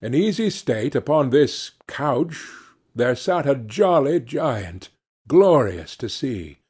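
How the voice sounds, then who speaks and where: Slow, husky man reading a classic. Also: medium pitch. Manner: measured, expressive storytelling. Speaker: audiobook reader. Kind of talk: classic novel narration.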